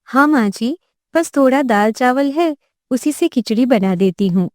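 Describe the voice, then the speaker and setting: A woman's voice: sits high, lively intonation, a little slow, dry recording. A woman voicing a devoted, kindhearted, careworn young wife in a cartoon tale, earnest and emotional in delivery. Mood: dutiful, gentle.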